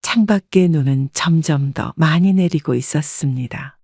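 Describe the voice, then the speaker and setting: Steady woman narrating a family story. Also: low-pitched, moderate pace, no echo, clean studio sound. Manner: steady, even-paced storytelling. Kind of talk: scripted first-person story narration.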